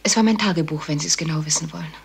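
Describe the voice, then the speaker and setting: A woman's voice: sits low, racing, gravelly, breathy edge, exaggerated voice. An actress playing a guarded young engaged woman in a 1970s radio crime play, speaking tersely. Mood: defensive. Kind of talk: 1970s German radio crime drama acting.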